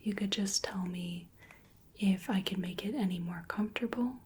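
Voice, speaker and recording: A woman in her twenties speaking in a gentle, breathy whisper, carefully giving instructions in a soft-spoken ASMR medical roleplay. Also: medium pitch, normal speed, a little hiss or hum. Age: 20s. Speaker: ASMR performer playing a hearing examiner. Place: small indoor room. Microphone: close microphone, just off camera.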